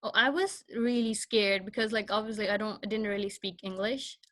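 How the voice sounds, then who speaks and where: Bright-voiced young woman from Pakistan. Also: medium pitch, steady tone, brisk. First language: Punjabi. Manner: soft-spoken and hesitant, many fillers and restarts. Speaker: guest. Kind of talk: casual interview chat.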